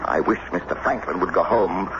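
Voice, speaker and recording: Stubborn, pompous American statesman husband male actor, lowish voice, exasperated radio-play delivery, clearly noisy old recording. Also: a little fast, highs cut off, natural voice. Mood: peevish, pompous. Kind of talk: old-time radio drama acting.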